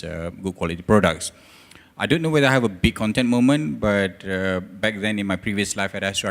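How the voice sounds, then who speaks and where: Deep-voiced male producer, measured business talk, echoing hall. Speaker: panelist, producer. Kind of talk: conference panel talk. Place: conference hall. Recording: reverberant hall.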